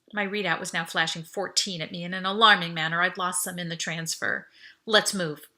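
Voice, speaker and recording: A woman reading first-person audiobook narration in an expressive, urgent, dramatic way. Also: fairly high pitch. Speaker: author reading own novel.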